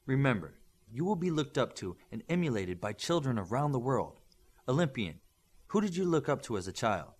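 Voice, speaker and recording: A male narrator plays a stern mentor of young superheroes in an audiobook. He lectures firmly and with principle, leaning on rhetorical questions, his mid-pitched voice rising and falling emphatically. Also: big pitch swings, normal speed, breathy edge. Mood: stern, lecturing. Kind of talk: audiobook character dialogue.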